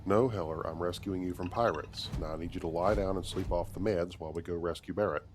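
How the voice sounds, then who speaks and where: A man narrating gameplay in character as a captain, in a dry, wry, deliberate way. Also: low voice, fairly even intonation. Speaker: gaming video creator playing a captain. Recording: clear background noise, game ambience.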